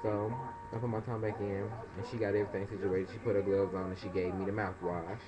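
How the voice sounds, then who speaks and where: A man's voice: quick pace, music in the background. A young man, casually telling a story in a vlog and acting out other people's voices. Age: young adult. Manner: animated, acts out other people's voices in high pitch. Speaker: vlogger. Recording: dull lo-fi webcam audio.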